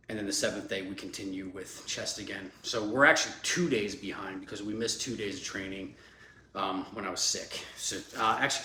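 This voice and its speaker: A man's voice: fairly low pitch, pushed and bright. A man in his forties, a fitness vlogger, talking in a loose, chatty way with many fillers, congested from a cold. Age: 40s. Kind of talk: casual rambling vlog talk. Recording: echoey room, faint background hum.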